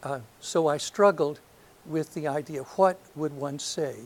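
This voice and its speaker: An older man from North Alabama with a soft voice, giving a reflective lecture to an audience, hesitant and full of ums, with gentle self-deprecating humor. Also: on the high side, a little slow. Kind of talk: last lecture, reminiscence. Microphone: handheld or podium microphone.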